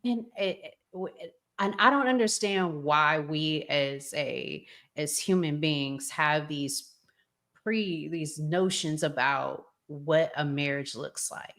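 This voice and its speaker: A woman hosting a podcast in a casual, reflective way, deliberately repeating phrases as she forms each thought. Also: low-pitched, fairly bright tone. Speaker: podcast host.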